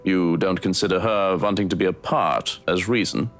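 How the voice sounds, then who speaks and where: An actor playing a composed butler in a visual novel, speaking in a formal, deadpan manner with dry morbidity. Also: male voice, low-pitched, normal speed, soft background music.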